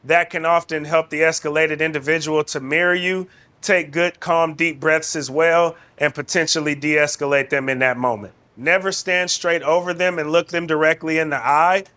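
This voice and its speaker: A man speaks as a trainer in an instructional film. His voice sits a little high and has a very bright, forceful edge as he lays out guidance. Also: steady tone, moderate pace. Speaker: de-escalation trainer.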